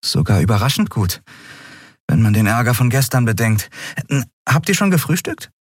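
Man voicing a young woman captain, brisk, wry, lively pitch, dry close sound. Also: slightly rough, no room sound.

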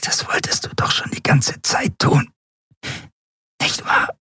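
A man's voice: a little high, lively intonation, moderate pace, no room sound, clean studio sound, pitched above the performer's own voice, close to an everyday voice. A man voicing a frightened yet defiant young woman in an audiobook, gasping and strained. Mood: resigned whisper. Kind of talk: dramatic audiobook character voice.

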